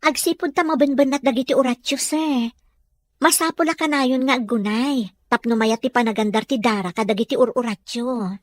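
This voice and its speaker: A woman's voice: a little high, moderate pace, no echo, lightly acted. A woman playing a patient, caring caregiver and mother in an Ilocano audio drama. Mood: encouraging. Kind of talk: Ilocano radio drama acting.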